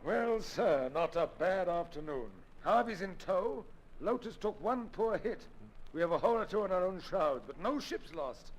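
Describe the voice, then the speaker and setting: An actor playing a satisfied naval officer in an old radio drama, giving reports in a formal, measured way. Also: male voice, sits high, expressive, a little hiss or hum, rough recording.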